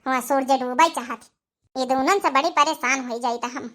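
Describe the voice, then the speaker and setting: A woman's voice: high-pitched, moderate pace, a bit bright. A woman voicing a weary old village mother-in-law in a comic cartoon, complaining and muttering with an Awadhi accent. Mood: exasperated.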